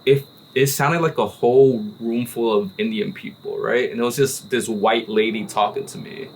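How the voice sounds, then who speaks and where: A man hosting a casual cigar podcast, telling a story in an animated way and peppering his talk with "like" and "right?". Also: medium pitch, normal speed, slight background noise, poor audio. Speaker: podcast host. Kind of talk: casual chat. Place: relaxed cigar-smoking hangout with friends.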